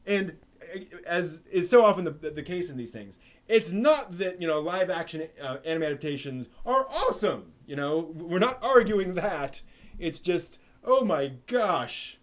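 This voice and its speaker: A man chatting casually as host of an anime live stream. His voice sits a little high for a man. He rambles through long stories, full of ums, restarts and asides. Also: normal speed. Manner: rambling with many fillers and restarts; animated storyteller. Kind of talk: casual chat with chat readers.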